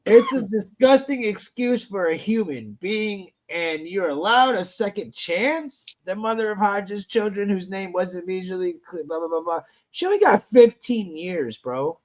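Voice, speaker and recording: High-voiced man with a scratchy throat. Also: lively intonation, moderate pace, few pauses. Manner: crude, profane, loud outbursts. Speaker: livestream host. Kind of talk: casual live panel chat.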